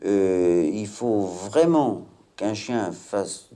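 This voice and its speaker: A man from Maine-et-Loire, master of a hunting pack, explaining things at a measured pace with frequent hesitations in a documentary interview. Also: fairly low pitch, expressive, mellow. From Maine-et-Loire, France.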